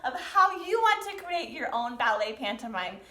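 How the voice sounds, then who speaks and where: A woman teaching dance to young learners, explaining and demonstrating step by step with gentle, encouraging prompts. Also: on the high side, sing-song, moderate pace. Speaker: dance teacher leading a children's pantomime lesson. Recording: roomy, echoing sound.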